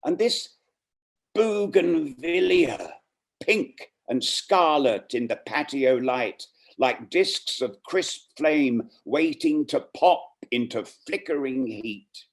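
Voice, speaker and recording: A man reading poetry aloud in measured, deliberate phrases with frequent pauses on an online call. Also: on the high side, expressive, vocal fry. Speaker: poetry reader. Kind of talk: poetry recitation.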